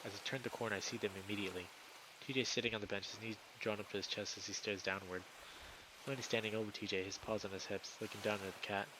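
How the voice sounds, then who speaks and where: Lowish guy reading a visual novel out loud on stream, dramatic narration, pretty noisy audio. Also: level tone, fast. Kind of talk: dramatic first-person narration, read aloud.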